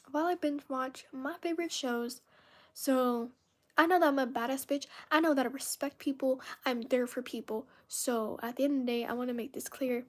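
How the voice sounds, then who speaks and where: A young woman whose first language is Spanish, talking casually and emphatically on a live stream, full of fillers and restarts. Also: a little high. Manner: venting, emphatic, filler-heavy with frequent restarts.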